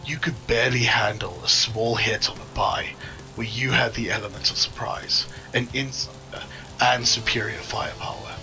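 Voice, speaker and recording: An actor playing a cold, controlled criminal go-between in a noir audio drama, formal, curt and disdainful. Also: male voice, medium pitch, steady tone, moderate pace, pushed and bright, faint music behind, poor audio. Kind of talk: scripted audio drama dialogue.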